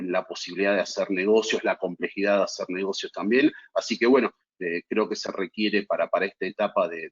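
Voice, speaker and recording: Middle-aged Argentine panelist, deep husky voice, halting delivery, dull call audio. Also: male voice. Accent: Argentine Spanish. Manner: measured, halting with many repeated words. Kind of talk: webinar panel introduction.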